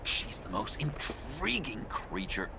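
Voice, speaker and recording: Unhinged lovestruck game villain, slow n creaky, breathy rambling w sudden outbursts. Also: male voice, medium pitch, very animated, heavy background noise, exaggerated voice. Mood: smitten, torn. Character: lovesick, unstable, eccentric young male criminal. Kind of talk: video game voice acting.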